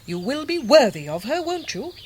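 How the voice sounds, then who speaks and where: An actress playing a doting but firm older great-aunt in a period radio drama, speaking in an emphatic, declamatory way. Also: female voice, high-pitched, very animated, moderate pace, a little mellow, a little hiss or hum. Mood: brisk, fond.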